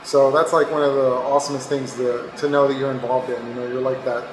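A man's voice: medium pitch, level tone, quick pace. A middle-aged man, a microbiologist, giving a casual interview, talking loosely with lots of filler phrases. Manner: loose, informal, frequent "like" and "you know". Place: laboratory indoors. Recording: echoey room.

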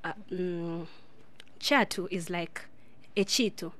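A woman's voice: on the low side, slow. Chatty young woman from Uganda. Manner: chatty, rambling, frequent fillers. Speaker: guest. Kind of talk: casual radio interview. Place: radio studio. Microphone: studio boom mic.

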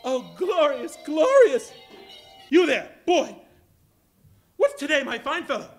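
A man's voice: very high-pitched, big pitch swings, takes it slowly, faint music behind, full character voice. A man playing an elderly miser, overjoyed and laughing, in a live stage reading in a large hall. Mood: ecstatic. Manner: giddy, exclaiming, laughing. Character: elderly male miser, joyful and giddy.

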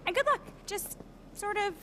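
A woman's voice: extremely high pitch, moderate pace, sharp tone, slight background noise. An actress playing a harried young female production assistant with a wry, sarcastic edge. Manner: frazzled, wry, sarcastic asides.

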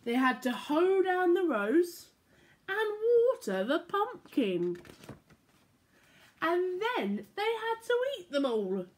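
Lively young woman reading picture books. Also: very high voice, slow pace. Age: young adult. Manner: animated, playful read-aloud with sound effects. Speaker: church children's storyteller. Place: living room at home. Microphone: laptop or phone camera at arm's length.

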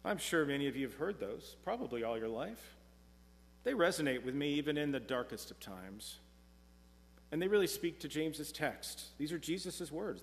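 A middle-aged man preaching a sermon from a pulpit, earnest and measured, slowing down when reading scripture. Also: a little high. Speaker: pastor. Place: church sanctuary, speaking from a pulpit. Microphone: pulpit mic, far camera. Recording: long church reverb.

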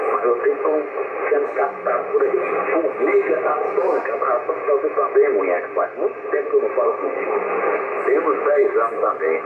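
A man's voice: medium pitch, far from the mic. A Brazilian man chatting casually and repetitively as a radio operator, ending phrases with tag questions, his voice coming over a radio. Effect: voice heard over shortwave radio. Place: radio receiver speaker filmed up close in a room. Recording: tinny, very noisy, echoey radio reception. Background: loud radio static.

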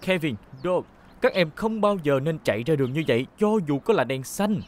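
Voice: medium pitch, animated, a little fast, a little mellow, natural voice. An actor voicing a kind, responsible robot police car in a dubbed children's cartoon, speaking in an earnest, instructive and reassuring way. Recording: music behind the voice. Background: clear background music.

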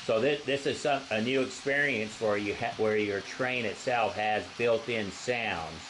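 A middle-aged man in casual chat, relaxed, prompting with questions, in a small room at home. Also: fairly low pitch, unhurried. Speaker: vlogger. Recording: constant background noise.

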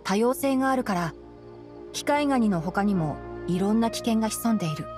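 Woman voicing a calm boyish fox-eared mentor. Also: medium pitch, music in the background, natural voice. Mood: gently firm. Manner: measured, matter-of-fact explaining. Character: young male fox-eared forest ranger, calm, studious mentor. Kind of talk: Japanese anime game voice acting.

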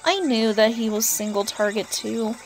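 Girl gamer doing chatty casual commentary, kinda high voice, faint music behind her. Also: normal speed. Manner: chatty, talks to herself and to game characters. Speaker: video game player and channel host. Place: indoors, voice-over on gameplay with game music behind.

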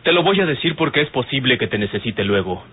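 Radio serial: resolute young suitor, courteous, husky, mid-pitched. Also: male voice, lively intonation, quick pace. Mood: earnest. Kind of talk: old Mexican radio drama acting. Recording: tinny old radio recording.

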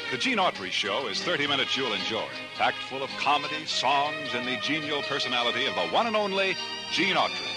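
Animated 1950s radio announcer, American man. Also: medium pitch. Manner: polished, promotional. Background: loud music.

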